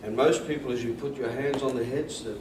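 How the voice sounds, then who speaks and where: An Australian man with a deep voice, calmly and deliberately teaching and demonstrating hypnosis in a soft-spoken, repetitive way. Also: background noise. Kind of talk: hypnosis instruction and induction, softly spoken.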